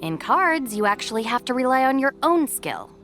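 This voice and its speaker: An actress playing a level-headed young woman who is second-in-command of a gang, speaking in a calm, dry, matter-of-fact way. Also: high voice, moderate pace, music in the background.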